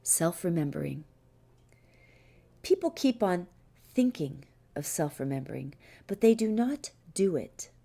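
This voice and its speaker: A woman narrates a spiritual text in a soft-edged, mellow voice that sits slightly low. She reads in a measured, deliberate way, breaking sentences into short phrases with pauses. Faint-to-clear music plays behind her. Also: sing-song. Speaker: audiobook reader.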